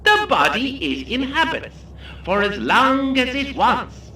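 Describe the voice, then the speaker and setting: A theatrical tour guide relishes every macabre detail in a horror comedy drama. The voice is bright and very animated, taunting and sardonic, with menacing pauses. It sounds roomy and a little distant. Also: male voice, very high-pitched, a little hiss or hum, heavily acted. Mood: ominous relish. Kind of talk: scripted audio horror comedy acting.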